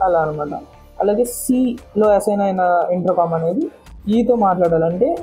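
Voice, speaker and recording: Casting: female vlogger, lowish and very mellow voice, casual unboxing style, patient step-by-step explaining. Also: moderate pace, faint music behind. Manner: explanatory, step-by-step, repeats words. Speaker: motorcycle vlogger and product reviewer.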